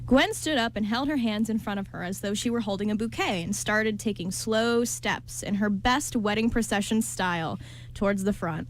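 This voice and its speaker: A woman's voice: medium pitch, moderate pace, a little hiss or hum, rough recording. A woman narrating a scripted story as part of a radio play.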